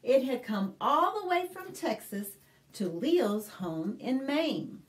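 A middle-aged American woman hosting a storytime, reading a picture book aloud to young children in an expressive, deliberate way with simple explanations. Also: sits high. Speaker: children's librarian and storytime host. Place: small indoor room, plain backdrop.

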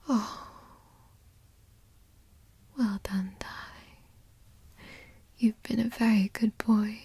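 Woman playing dominant tall girlfriend asmr, super breathy slow whisper, teasing and doting, close mic. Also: mid-range voice, darkish tone, no echo, exaggerated voice. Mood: tender, doting. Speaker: ASMR roleplay performer. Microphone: close microphone.

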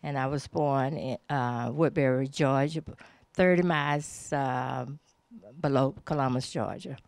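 Woman in her seventies, deep voice, a bit halting, dry sound. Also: creaky, darkish tone, no room sound. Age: 70s.

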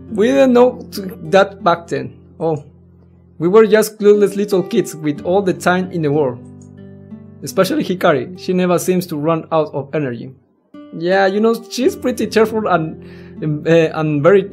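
Lively let's-player with music behind. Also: mid-range voice. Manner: giggly, interjects own reactions. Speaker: let's-play host. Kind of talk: reading game text aloud with casual commentary. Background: game music with plucked guitar.

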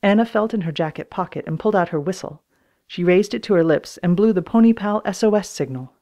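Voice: medium pitch, expressive, fast, mellow. A reader giving a plain, scripted narration of a children's book. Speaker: audiobook reader.

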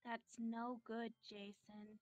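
A woman voicing a sad, self-blaming, fragile teenage anime girl in a visual novel, with halting emotional delivery. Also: a little high, little pitch movement, darkish tone, no echo, lo-fi sound. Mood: sad, guilty. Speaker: amateur reader of a visual novel character. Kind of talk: dramatic visual novel reading.

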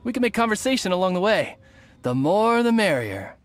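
A man playing a glib young bandit who poses as a merchant in a video game, with a husky voice. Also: sits high, very animated, fairly quick, no room sound. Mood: friendly, glib. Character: smooth-talking young male bandit posing as friendly merchant. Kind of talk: English game dub acting.

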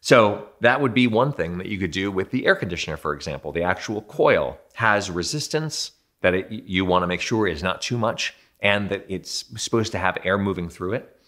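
A man's voice: low-pitched, animated, normal speed, few pauses, a bit bright. A man in his thirties, a building performance consultant, explaining home quality control to camera in a conversational, self-deprecating way from an indoor studio.

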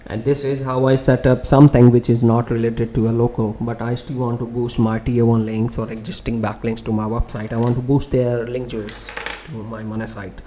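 Brief: male tutor, mid-pitched, casual screen-share walkthrough, faint hiss. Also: flat delivery, normal speed, few pauses, dark tone. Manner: loose, conversational explaining with fillers and restarts. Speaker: SEO tutorial presenter.

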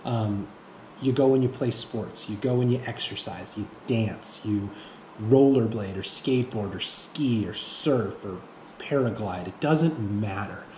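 A man in his thirties speaking off the cuff to camera as a fitness vlogger, haltingly, often repeating himself and searching for words. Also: fairly low pitch, mellow. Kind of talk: reflective unscripted monologue to camera. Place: indoor room with bare walls. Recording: echoey room with faint background noise.

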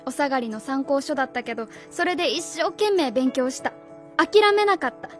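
Woman acting a very high-voiced, earnest female schoolteacher. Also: animated, brisk. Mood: proud. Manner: formal, earnest, indignant. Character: earnest, proud, idealistic female junior high school teacher. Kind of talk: radio drama acting. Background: music.

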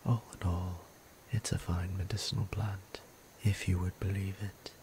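A man playing a gentle, courteous vampire priest in an ASMR roleplay, speaking softly and breathily in a formal, soothing way. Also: low-pitched, little pitch movement, a little hiss or hum. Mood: gentle, tender. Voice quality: soft, breathy near-whisper. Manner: slow, deliberate, formal, soothing. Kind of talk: intimate ASMR roleplay acting.

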